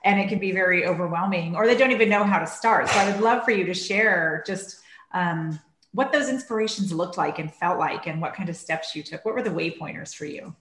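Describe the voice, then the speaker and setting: A woman's voice: on the low side, a little fast, a bit bright, slight background noise. Enthusiastic woman host, echoey room. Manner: enthusiastic, encouraging questions. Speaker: podcast host. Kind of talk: interview.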